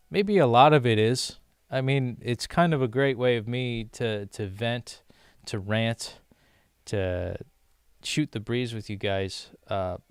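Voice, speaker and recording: A man's voice: mid-range voice, clear, resonant tone, no room sound, clean studio sound. A young American man rambling casually to his viewers, with many restarts and fillers. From United States. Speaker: language-learning vlogger.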